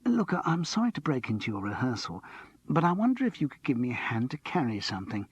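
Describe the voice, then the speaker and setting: A man's voice: medium pitch, big pitch swings, fairly quick, breathy edge, no echo. A man reading aloud and voicing an apologetic, hesitant man who interrupts politely. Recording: slightly muffled dry recording.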